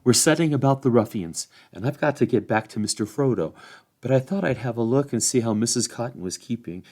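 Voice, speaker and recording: A man's voice: medium pitch, lively intonation, fairly quick, a little mellow. A man voicing a loyal, plain-spoken young hobbit with homely rustic speech. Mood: awkward.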